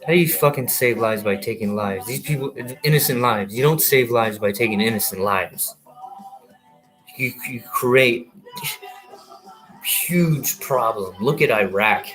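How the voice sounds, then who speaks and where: A man's voice: mid-range voice, unhurried, fairly bright tone, faint music behind, low-quality recording. A man in his thirties, a military veteran, rants through a rambling, heated monologue full of swearing and false starts. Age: 30s. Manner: heated, profane, many restarts.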